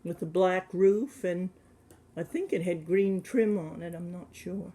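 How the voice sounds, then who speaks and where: A man in his seventies, answering questions about his childhood in a plain, hesitant way with many pauses. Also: high voice, mellow. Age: 70s. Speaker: interviewee. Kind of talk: casual recollection.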